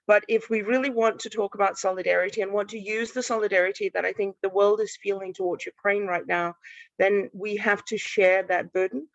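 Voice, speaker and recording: A woman from Denmark, speaking as a panelist in an online panel, thoughtful and halting with many restarts. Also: medium pitch, fairly even intonation, no room sound, dull audio. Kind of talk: panel talk on a live stream. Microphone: video call.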